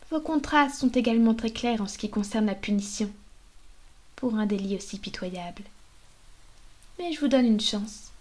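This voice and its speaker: Mellow, high-voiced haughty vampire mistress, acted by a woman. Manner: poised, formal, condescending, deliberate. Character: haughty, menacing female vampire mistress of a noble house. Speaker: ASMR roleplay performer. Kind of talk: scripted ASMR roleplay.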